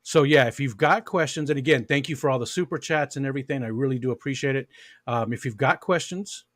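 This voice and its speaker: A man's voice: mid-range voice, fast talker, dry recording, clean studio sound. A man hosting a casual livestream chat, rambling and friendly, with plenty of fillers. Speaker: livestream host.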